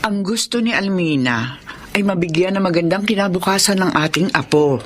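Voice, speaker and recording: A woman's voice: on the low side, moderate pace, natural voice. An actress playing a sympathetic older mother in a radio drama, sighing and pleading in manner. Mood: explaining. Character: sympathetic, soft-hearted older mother and grandmother.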